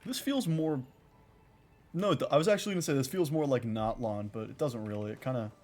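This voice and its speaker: A man's voice: mid-range voice, a little fast, a little mellow. A man giving casual, dryly joking commentary while he streams a game.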